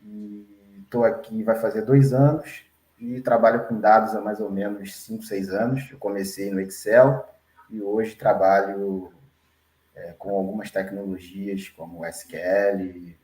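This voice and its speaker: Low-voiced Brazilian man, echoey room. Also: dark tone. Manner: unhurried, frequent tag questions. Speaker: BI consultor and data engineer. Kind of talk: online class presentation.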